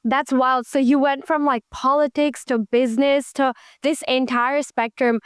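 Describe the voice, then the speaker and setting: A woman's voice: sits high, little pitch movement, measured pace, smooth, no echo, studio quality. A woman from Belgium hosting a podcast, asking questions with enthusiasm. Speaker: podcast host. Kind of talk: interview hosting.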